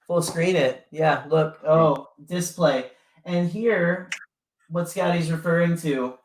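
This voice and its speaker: A man's voice: a little high, takes it slowly. A man co-hosting a fan podcast, chatting casually in a rambling, jokey way. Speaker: podcast co-host.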